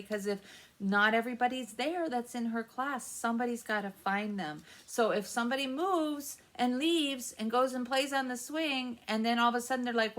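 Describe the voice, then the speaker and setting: A woman's voice: fairly high pitch, fairly bright tone. An American woman hosting a children's story time, asking simple questions and patiently explaining the answers as she goes. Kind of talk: interactive read-aloud and teaching for young children.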